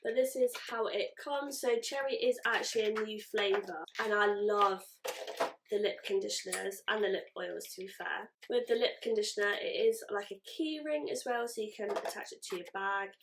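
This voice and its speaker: A woman's voice: medium pitch, moderate pace, slight background noise, low-quality recording. Woman unboxing makeup, a bit stuffy and nasal from a cold, rambly and chatty. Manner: chatty, rambling, self-correcting. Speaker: beauty vlogger. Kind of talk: casual product unboxing.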